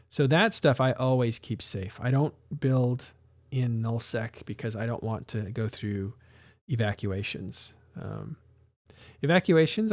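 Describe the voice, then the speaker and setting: A man's voice: mid-range voice, a little mellow, no echo, clean studio sound. A man hosting a casual live stream, talking in a rambling way with many restarts and fillers. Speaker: live show host.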